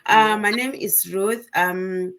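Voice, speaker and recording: A woman's voice: medium pitch, steady tone, slow, fairly bright tone. A woman from Botswana speaks as a guest on a live online panel. Her voice sits in a middle range, and her delivery is earnest and friendly. The sound comes through a video call.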